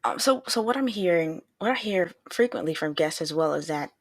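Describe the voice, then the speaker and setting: A woman hosts a podcast interview in a casual, upbeat way and drops easy slang. Her voice sits a little low with a gravelly fry, recorded dry and close. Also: expressive, a little fast, no echo. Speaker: podcast host.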